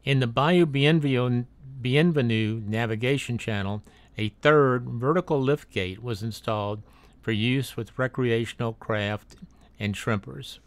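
A man's voice: medium pitch, a little slow, dry recording. A man narrating a drone video as a read-aloud factual voice-over, with occasional slips and restarts. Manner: reads a factual text, with small slips and restarts.

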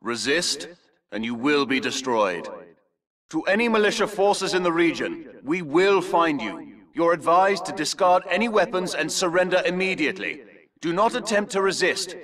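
A man playing a cold, politely menacing army broadcaster in a video game, measured and authoritative. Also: fairly high pitch, sing-song, fairly bright tone, distant mic. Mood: coldly menacing. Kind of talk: formal propaganda broadcast announcement.

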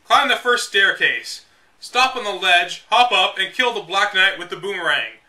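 A man's voice: high-pitched, normal speed, pushed and bright, distant mic, poor audio. A man hosting a read-aloud of a game guide, delivering it dramatically and breaking off for casual, sarcastic, exasperated asides. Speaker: host reading a game guide aloud with commentary.

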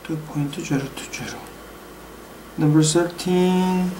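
A man with a Korean accent, a native Korean speaker, slowly working through calculations aloud at a desk in his room, hesitant, with many pauses and fillers. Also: on the high side, steady tone, dark tone, a little hiss or hum, rough recording. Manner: slow and hesitant, full of pauses and fillers, reading numbers aloud. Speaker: hobby mechanic explaining engine work. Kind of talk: thinking-aloud tutorial. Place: small room at home.

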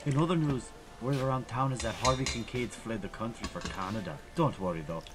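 An actor playing a nervous, hotheaded Irish gangster informant in an old-time radio drama, with an Irish accent. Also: male voice, medium pitch, brisk, close to an everyday voice. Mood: eager. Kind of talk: 1930s radio drama acting.

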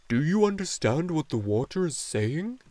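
A man voicing a wise, joyful luck dragon in a storybook, speaking slowly and solemnly with great deliberation. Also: fairly high pitch, big pitch swings, dark tone, no room sound, voice pushed up. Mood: delighted wonder. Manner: slow, solemn, deliberate delivery.

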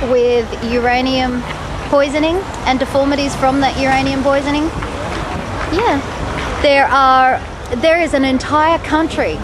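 Woman, street interviewer, challenging tone, behind the camera, noisy night street. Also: sits high. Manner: persistent, challenging. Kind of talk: probing questions to passers-by. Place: city street at night, outdoors. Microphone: handheld camera, she is behind it. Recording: noisy street with crowd chatter.

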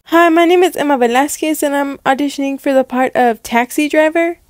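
A woman playing an eager, anxious teenage girl who dreams of acting, dramatic and excitable, in an acted story. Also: high-pitched, a little slow, smooth, no room sound, clean studio sound. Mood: nervous. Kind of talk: acted dialogue in a one-person story.

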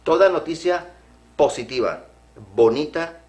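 A man's voice: on the high side, expressive, a little slow, a little mellow. A middle-aged man from Colombia, a guest in a TV studio interview, talking earnestly with restarts on a lapel mic. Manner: earnest, gestures, restarts phrases. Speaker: program guest. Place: TV studio set.

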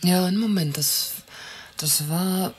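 An actress playing a flustered, nervous office secretary in a radio crime drama, husky and halting. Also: female voice, lowish voice. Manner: halting, hesitant. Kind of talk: naturalistic radio drama dialogue.

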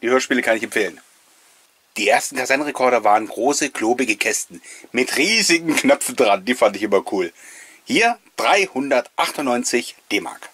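A man's voice: mid-range voice, lively intonation, normal speed, a bit bright, slightly rough, dry recording. A middle-aged man giving a casual, nostalgic voice-over commentary, reminiscing with wistful enthusiasm as the host. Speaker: host of a collectors' video channel.

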